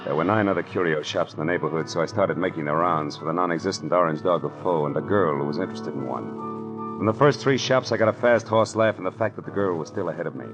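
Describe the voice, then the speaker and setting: A man's voice: sits low, fast talker, close to an everyday voice. An American man playing a wry hard-boiled private detective in an old-time radio drama, with clipped, slangy delivery. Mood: wry, narrating. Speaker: narrator and lead character. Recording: old radio recording with music.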